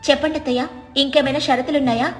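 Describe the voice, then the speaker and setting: Lady as young storybook daughter-in-law, confident and respectful, highish voice. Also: steady tone, quick pace, faint music behind, natural voice. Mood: respectful, wry.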